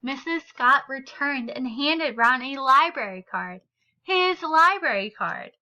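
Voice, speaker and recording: A woman reading a children's picture book aloud with deliberate, expressive phrasing for young listeners. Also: high voice. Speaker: read-aloud storyteller.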